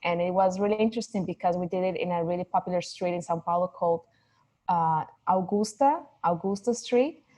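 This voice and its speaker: Low, mellow-voiced woman, musing aloud. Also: fairly quick. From Mexico. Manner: thoughtful, searching for words, many restarts. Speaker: filmmaker guest. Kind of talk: casual interview conversation.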